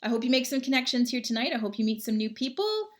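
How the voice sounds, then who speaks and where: A woman's voice: a little high, sing-song, fast. A woman, chatting casually with viewers on a livestream from home and reading out their comments. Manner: chatty, friendly, rambling. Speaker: livestream host. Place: home, indoors.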